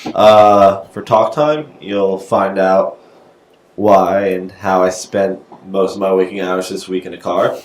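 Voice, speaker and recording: A man in his twenties speaks in a fairly low, dry voice as he runs through a podcast's topics. He hesitates with fillers along the way. The room around him is echoey. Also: steady tone, takes it slowly. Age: 20s. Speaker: podcast co-host. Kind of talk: casual chat, reading topic rundown.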